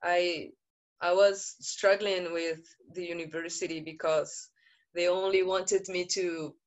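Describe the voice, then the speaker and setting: Slow, earnest woman activist. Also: fairly low pitch, fairly even intonation. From Brazil. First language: Portuguese. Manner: earnest, measured, with frequent pauses and fillers. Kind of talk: panel talk. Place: indoor room. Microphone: video call.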